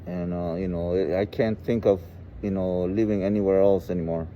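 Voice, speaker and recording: A man in his forties from El Salvador with a Salvadoran Spanish accent, telling his personal story informally and haltingly, often saying "you know". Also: sits low, fairly even intonation. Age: 40s. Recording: muffled, with clear background noise.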